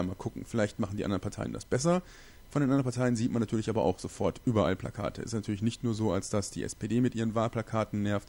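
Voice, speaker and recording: Gravelly Berlin man chatting casually. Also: medium pitch, darkish tone. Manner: chatty, rambling with restarts and fillers. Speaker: community podcast host. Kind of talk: casual spoken local news podcast.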